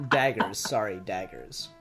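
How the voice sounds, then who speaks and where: A man hosting a podcast in a casual, animated review chat. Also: lowish voice. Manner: giggly, animated quote re-enacting. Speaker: podcast host.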